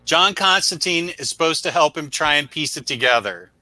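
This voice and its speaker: A man with a very bright, forceful voice pitched a little high, co-hosting a casual review show. He talks bluntly and emphatically, full of opinion, in unscripted chat. The sound is dry and close. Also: lively intonation, moderate pace, no echo. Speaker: co-host of a comic book review show.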